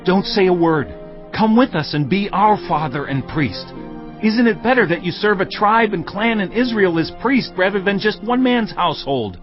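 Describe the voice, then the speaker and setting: A high-voiced, commanding warrior scout. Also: male voice, animated, normal speed, highs cut off, faint music behind. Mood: insistent. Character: bold, urgent Danite warrior and scout, pushy leader. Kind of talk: dramatised audio Bible acting.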